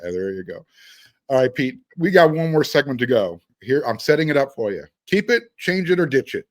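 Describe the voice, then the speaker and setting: Animated male sports podcast host, close dry sound. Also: medium pitch, a little fast, no room sound. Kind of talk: casual sports talk and ad reads.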